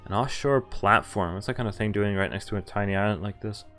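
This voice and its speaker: A man's voice: fairly low pitch, fast talker, fairly bright tone, soft background music. A male streamer reading visual novel text aloud, sometimes stumbling and correcting himself. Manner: stumbles over words and self-corrects. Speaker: game streamer.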